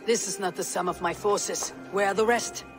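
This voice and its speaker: A woman's voice: mid-range voice, fairly even intonation, moderate pace, music in the background, natural voice. An actress voicing a young, brash and determined female Viking warrior leader, blunt and resolute, in a video game. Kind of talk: video game cutscene acting.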